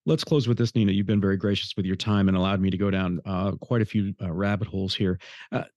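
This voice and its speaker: A man's voice: deep voice, fairly even intonation, quick pace, a little mellow, no room sound, clean studio sound. A man from the Detroit area hosting an interview podcast, asking long, meandering questions full of restarts. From Detroit area, USA. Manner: rambling, searching questions with restarts. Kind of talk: conversational interview.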